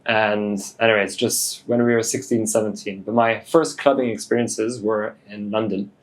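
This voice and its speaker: A man in his late twenties, French-born and raised in Hong Kong, talking in a relaxed, thoughtful way as a DJ guest, with many fillers. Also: lowish voice, moderate pace, rough recording. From France, raised in Hong Kong. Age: 20s. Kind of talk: casual interview.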